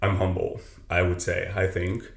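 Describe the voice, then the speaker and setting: Deep-voiced trainer, earnest and roomy. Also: male voice, level tone, normal speed, creaky. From south Texas. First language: Spanish. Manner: earnest, filler-heavy, many "you know"s. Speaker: personal trainer, podcast guest. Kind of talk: casual reflective interview talk. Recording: roomy echo with faint ambience.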